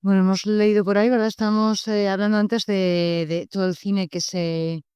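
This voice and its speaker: A male radio interviewer with a high, smooth and crisp voice. He asks questions casually, recorded dry in a studio. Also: flat delivery, normal speed, a little mellow, no room sound.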